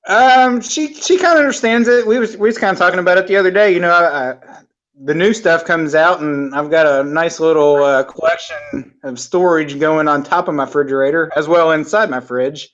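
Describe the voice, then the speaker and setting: A forty-year-old man, a guest in a casual video-call chat, who talks in an easygoing, hesitant way with many pauses and fillers. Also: fairly high pitch, a bit bright. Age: 40s. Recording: dull video-call audio.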